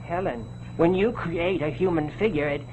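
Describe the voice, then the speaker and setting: A man acting a gentle, weary, henpecked husband, pleading earnestly in 1940s television suspense style. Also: a little high, moderate pace, phone-quality sound, slight background noise, close to an everyday voice. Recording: old 1940s television soundtrack.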